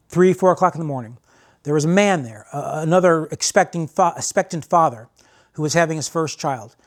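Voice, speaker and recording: High-voiced American man telling stories. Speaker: host and storyteller. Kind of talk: casual spoken storytelling.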